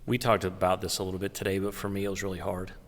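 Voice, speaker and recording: A man in his fifties with a very low voice speaks in a quiet, earnest way and pauses as he goes. His voice echoes with a long hall reverb. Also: monotone. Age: 50s. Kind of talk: casual church podcast conversation.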